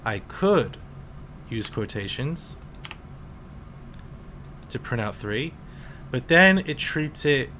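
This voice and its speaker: A man in his twenties, a teacher, calmly explaining programming in a casual screen-recorded tutorial with some fillers. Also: mid-range voice, noisy background. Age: 20s. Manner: slow, relaxed explaining with fillers.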